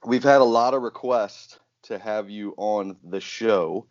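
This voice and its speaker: A man's voice: on the low side, unhurried, darkish tone. A man hosting a podcast interview, easygoing and teasing, with a lot of "uh". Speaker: podcast host. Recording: slightly muffled audio.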